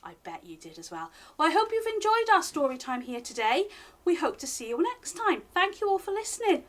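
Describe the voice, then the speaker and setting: A woman reading a children's picture book aloud in an expressive, singsong way, speaking directly to young listeners as a library storytime reader. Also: very high voice, brisk.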